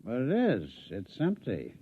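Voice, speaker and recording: An actor playing a reluctant, skeptical sidekick with a wry, droll manner in a 1950s radio comedy. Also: male voice, mid-range voice, huge pitch swings, measured pace, background noise. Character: reluctant, easily spooked sidekick collector who would rather go drinking. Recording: dull, dry vintage radio recording.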